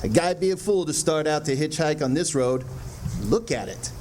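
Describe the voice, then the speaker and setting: A man acting a chatty rural gas station attendant in an old-time radio drama, with folksy, halting, colloquial talk. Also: a little high, animated, a little mellow, a little hiss or hum, lightly acted. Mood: incredulous, curious.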